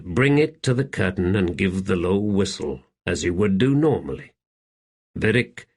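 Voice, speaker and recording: A man voicing a shrewd slave in an audiobook, calm, deferential and measured, speaking quietly. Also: fairly low pitch, slightly rough, exaggerated voice. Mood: quietly persuasive. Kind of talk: audiobook character acting.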